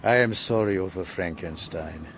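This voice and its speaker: An actor playing a blunt, sceptical ship's captain in a gothic radio drama, terse and dutiful. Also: male voice, low voice, background noise. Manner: terse, measured, barking orders.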